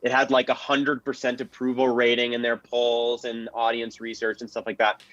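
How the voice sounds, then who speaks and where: A man speaks as a panelist in an informal history discussion. His voice sits at an average pitch and he explains things analytically, on a close, dry, slightly dull recording. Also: monotone, normal speed, fairly bright tone, no room sound. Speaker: panelist, radio history commentator.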